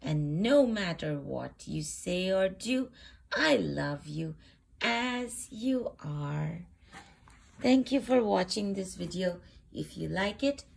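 A woman reads a children's storybook aloud in a fairly low voice. Her delivery is very animated and unhurried, shaping each phrase deliberately for small listeners. She sounds like a host presenting a picture book. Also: gravelly, husky.

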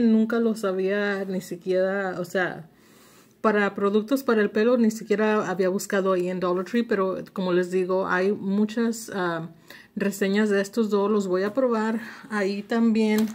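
A woman chats casually through a product review as a vlogger. She takes her time, speaking slowly at an average pitch, and slips English words into her sentences. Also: slight background noise. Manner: mixes English words into Spanish. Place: indoors at a table at home.

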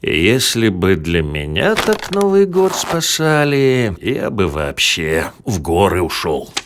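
A man playing a calm, wry, easygoing father in an animated cartoon, gently teasing. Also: low-pitched, slow, noisy background, heavily acted. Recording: music under the voice.

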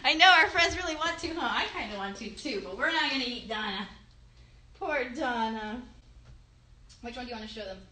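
A woman in her thirties chats as host of a children's livestream. Her voice is high and bright, with lively swings in pitch. She speaks encouragingly, as if to young children. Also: brisk. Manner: animated, encouraging, child-directed. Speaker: host, puppeteer. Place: bedroom. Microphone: phone at arm's length.

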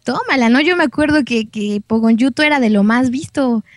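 High-voiced young Mexican woman, chatting casually. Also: fairly quick. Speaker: guest. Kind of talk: casual interview chat.

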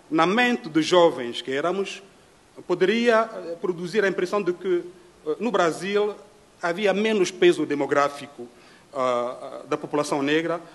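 A middle-aged man from Congo, speaking Portuguese as a panel speaker, in a measured, formal way with frequent pauses. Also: fairly high pitch. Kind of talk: formal reflective talk. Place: dim hall at a conference table.

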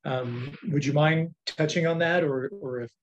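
A man's voice: fairly high pitch, a little slow, dull audio. A man moderating a video call interview, polite and deferential, addressing guests as sir. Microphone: webcam mic on video call.

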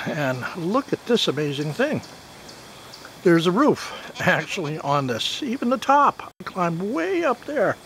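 A man's voice: fairly high pitch, a bit bright, slight background noise. A man in his forties giving a casual, jokey walk-around of his half-built barn outdoors, recorded on a handheld camera. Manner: chatty, jokey, talks to his goats. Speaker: homesteading vlogger. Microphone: handheld camera mic.

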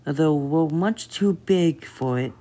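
Woman as a gentle, hesitant, husky cartoon gem matriarch. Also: deep voice, a little slow. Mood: kindly. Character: gentle, hesitant, apologetic giant female gem ruler. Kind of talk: amateur cartoon comic dub.

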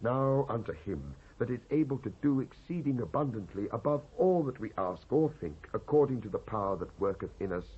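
A British man giving a solemn, declamatory dramatised scripture reading in measured phrases with pauses. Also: mid-range voice, expressive, husky. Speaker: Bible reader, actor. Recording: dull lo-fi cassette transfer.

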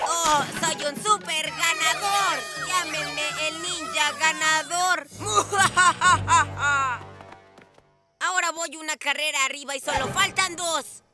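A woman plays a bragging little ninja boy villain in a cartoon, smug and high-voiced, with clear music behind him. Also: slow pace. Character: boastful, cheating young ninja boy villain. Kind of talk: cartoon dub acting. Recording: backing music.

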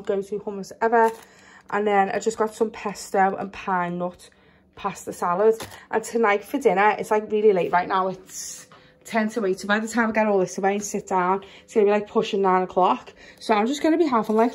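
Young woman, chatty and rambling, filming a haul at home on a phone, with a light hiss behind her. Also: medium pitch. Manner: rambling, chatty, trails off with "I don't know". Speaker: vlogger. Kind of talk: casual grocery haul chat. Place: home kitchen, indoors.

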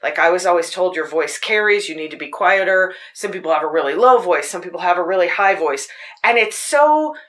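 A woman with a fairly low voice hosting a podcast, telling personal stories in an earnest, searching way. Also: expressive, brisk, fairly bright tone. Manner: earnest, personal storytelling with frequent restarts. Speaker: podcast host. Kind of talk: casual spoken reflection. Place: Tucson.

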